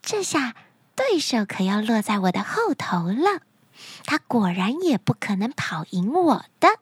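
A woman voicing a sly fairy-tale princess, gloating quietly and gleefully to herself. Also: a little high, very animated, unhurried, breathy edge, no room sound, exaggerated voice.

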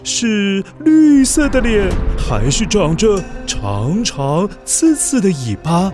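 Animated, high-pitched male children's storyteller, Taiwanese Mandarin. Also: slow, over music. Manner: dramatic, addresses young listeners with questions. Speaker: children's story podcast narrator. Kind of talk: expressive scripted storytelling for children.

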